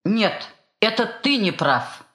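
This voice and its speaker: A woman playing a stern mother who objects to her son's marriage, speaking slowly and clearly in a scripted language-course dialogue. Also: mid-range voice, very animated, a bit bright, roomy sound. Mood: objecting. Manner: emphatic, insistent. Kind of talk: slow scripted dialogue for learners.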